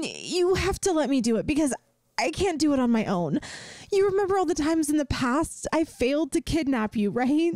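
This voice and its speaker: A woman's voice: sits high, a little mellow, no echo, studio quality. A woman playing a bubbly, inept, lovestruck young yandere student in an audio roleplay, rambling and pleading dramatically with the listener. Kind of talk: scripted ASMR audio roleplay, addressing the listener.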